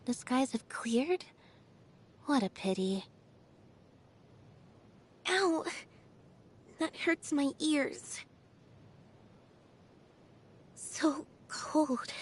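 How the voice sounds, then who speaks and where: An actress playing an aloof, quiet young female magician's assistant in a video game, with a deadpan, drowsy and reserved manner. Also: sits high, extremely slow, long pauses, heavily acted. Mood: pained. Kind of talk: video game character voice lines.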